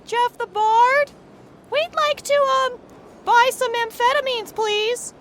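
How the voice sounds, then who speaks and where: An actress playing an earnest, naive daredevil agent who talks cheerfully. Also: female voice, very high-pitched, slow pace, fairly bright tone, a little hiss or hum, full character voice. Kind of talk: comedy audio drama acting.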